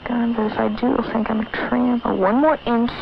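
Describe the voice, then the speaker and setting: A woman's voice: on the high side, level tone, a little fast, creaky. A young woman voicing the anxious inner monologue of a teenage girl on a date, in nervous half-whispers. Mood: worried. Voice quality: hushed, half-whispered thoughts. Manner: nervous, self-doubting, rambling. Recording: dull old film soundtrack with hiss.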